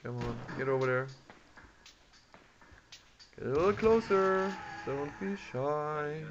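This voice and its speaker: Slow-talking man commentating a game, muffled. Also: a little high, expressive. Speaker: video game player. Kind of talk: casual gameplay commentary. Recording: muffled, noisy home recording.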